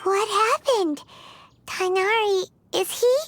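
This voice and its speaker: A woman voicing a tiny, excitable, childlike fairy companion in a video game, with a squeaky voice. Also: slow pace, slight background noise. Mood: concerned. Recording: loud music under voice.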